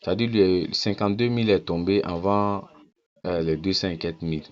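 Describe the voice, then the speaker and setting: A very low-voiced man talks viewers through his account in a casual, persuasive tone. He hammers his points home by repeating them. The recording is dull and lo-fi. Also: fairly even intonation, brisk, a little mellow. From Ghana. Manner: repeats phrases insistently, persuasive. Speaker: network-marketing promoter. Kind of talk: casual screen walkthrough.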